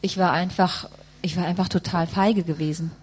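A woman's voice: on the low side, fairly even intonation, normal speed, a little mellow, breathy edge. A woman working as a live interpreter, rendering a testimony phrase by phrase and rewording as she goes.

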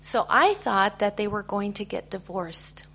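A middle-aged woman with an American accent giving a personal testimony in short phrases, pausing for an interpreter. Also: mid-range voice, vocal fry, sharp tone, slightly rough. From United States. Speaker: guest speaker giving testimony.

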